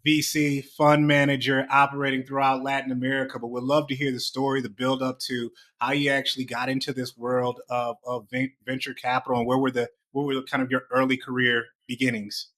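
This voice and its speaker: A man's voice: medium pitch, flat delivery, a little fast, hardly any pauses, fairly bright tone. A man hosting a podcast interview, upbeat and loose, asking long rambling questions. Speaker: podcast host.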